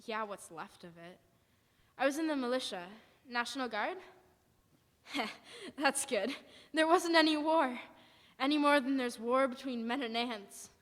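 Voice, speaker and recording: A woman's voice: sits high, expressive, a little slow, a bit bright. Woman acting a defiant survivor in an echoing hall. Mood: bitter, resigned. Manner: blunt, folksy, emphatic survivalist talk. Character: defiant, wary militia survivor woman with a knife. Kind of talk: live stage radio drama acting. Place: church hall stage, live performance. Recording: echoey hall, live performance.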